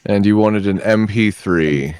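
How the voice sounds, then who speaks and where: Deep-voiced man chatting casually. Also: measured pace, a little mellow, clear, resonant tone, dry recording. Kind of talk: casual chat on a live stream.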